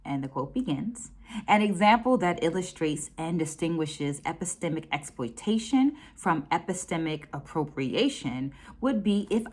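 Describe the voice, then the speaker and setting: Woman, American accent, middle-aged, low voice, measured educator's delivery, reflective book-review vlog. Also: breathy edge. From United States. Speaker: dance educator hosting a book discussion.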